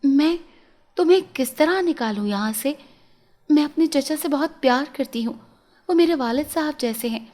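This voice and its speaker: A woman's voice: high-pitched, animated, normal speed, darkish tone, pitched above the performer's own voice. A woman voicing a tender-hearted young princess in a storybook tale, anxious and earnest, often questioning.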